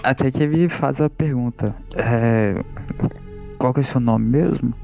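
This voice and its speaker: A man at a tabletop game, speaking slowly with a deadpan delivery. His voice is dark and gravelly, with faint music behind. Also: medium pitch. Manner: drawn-out, deadpan, odd questions. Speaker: player. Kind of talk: in-character tabletop game chat.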